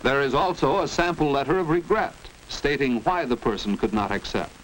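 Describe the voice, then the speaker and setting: A man's voice: mid-range voice, expressive, normal speed, fairly bright tone. A man with an American accent narrating a 1940s instructional film in a precise, didactic manner. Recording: noisy 1940s educational film soundtrack.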